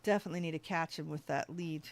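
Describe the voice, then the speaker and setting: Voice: mid-range voice, fairly even intonation, fast. A gaming streamer giving casual gameplay commentary, often talking to the game's animals and to themselves. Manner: talks to game animals and self.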